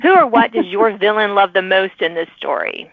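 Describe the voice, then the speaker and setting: A woman hosting a conversational author interview, chatty and quick to react with little interjections. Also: mid-range voice, no room sound. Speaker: podcast host. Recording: narrow phone-like sound, dry.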